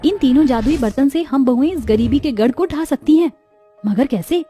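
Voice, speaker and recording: A woman's voice: sits high, animated, moderate pace, warm tone, soft background music, pitched above the performer's own voice. A woman voicing a poor young village daughter-in-law in a cartoon story, earnest and pleading in a dramatic, plaintive way. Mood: hopeful. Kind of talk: Hindi moral cartoon story acting.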